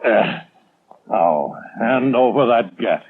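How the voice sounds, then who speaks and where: An actor in a 1930s radio drama playing a brusque, blustering police commissioner who barks blunt demands. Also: male voice, mid-range voice, animated, takes it slowly. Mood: barking orders. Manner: barking, blunt. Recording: noisy, tinny 1930s radio broadcast.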